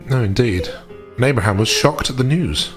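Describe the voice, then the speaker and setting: A man playing a reserved, polite gentleman investigator in a tabletop roleplay, speaking formally and hesitantly. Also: mid-range voice, expressive, over music, pitched above the performer's own voice, lightly acted. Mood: uneasy, puzzled. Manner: hesitant, measured, formal period phrasing.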